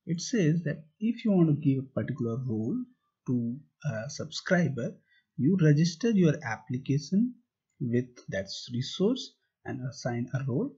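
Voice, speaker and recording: A man's voice: medium pitch, warm tone, husky. A man teaching a tutorial over slides and a screen demo, explaining step by step and asking and answering his own questions. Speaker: tutorial instructor. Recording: muffled lo-fi recording.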